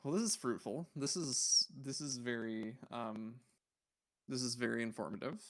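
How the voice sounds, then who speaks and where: A man's voice: mid-range voice, moderate pace, low-quality recording. Man thinking aloud on a casual livestream. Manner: rambling, thinking aloud, puzzled musing. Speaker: livestream host. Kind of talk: casual livestream chat while browsing.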